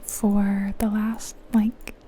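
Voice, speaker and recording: A woman whispering softly and breathily in a casual, chatty ASMR style as she comments on a game, gentle and musing. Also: mid-range voice, level tone, extremely slow, slight background noise. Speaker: ASMR channel host.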